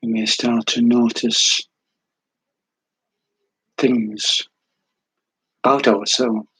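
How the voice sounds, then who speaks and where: Meditation guide, male. Soothing, breathy delivery with very little pitch movement, gentle pauses, intimate dry recording. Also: dry recording, rough recording. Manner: calm, unhurried, soothing, with long pauses. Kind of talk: guided meditation, slow spoken instruction.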